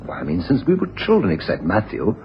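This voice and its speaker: Kindly, excitable gentleman, hugely animated, dull hissy 1980s radio drama. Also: on the low side, fast talker. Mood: protesting. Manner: protesting, excitable, earnest. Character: kindly, trusting, agitated younger brother. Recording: dull, noisy old radio broadcast.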